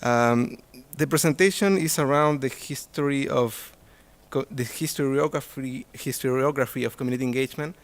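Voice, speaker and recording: A man's voice: medium pitch, normal speed, hardly any pauses. Man presenting an academic talk. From Chile. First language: Spanish. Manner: hesitant, many fillers and restarts. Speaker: conference presenter.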